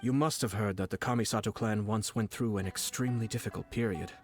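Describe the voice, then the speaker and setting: An actor playing a composed noble male commissioner who speaks smoothly and courteously, with quiet menace. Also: fairly low pitch, fairly even intonation, fast talker, soft background music, natural voice. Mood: solemn. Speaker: clan commissioner. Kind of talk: game voice acting.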